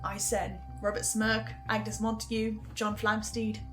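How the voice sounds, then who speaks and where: A woman playing a hesitant, hungry archivist in an audio drama, speaking haltingly with small stammers. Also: medium pitch, monotone, fairly bright tone, faint music behind, close to an everyday voice. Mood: musing, chatty. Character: hesitant, hungry marked archivist recording a podcast reaction.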